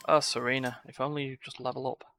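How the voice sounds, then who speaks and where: A man's voice: mid-range voice, level tone, quick pace, bright, forceful tone. A man giving live video game commentary, full of playful exclamations and muttered asides. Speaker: game streamer. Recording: game music behind the voice. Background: video game music.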